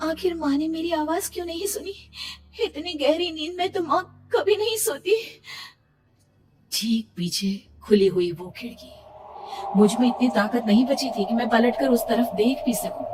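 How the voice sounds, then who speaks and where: Woman acting a frightened young pregnant woman, theatrical horror narration. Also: sits high. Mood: rising fear. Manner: suspenseful, emotional, theatrical. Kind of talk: dramatic first-person horror story narration. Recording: distant-sounding voice under loud music. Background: loud background music.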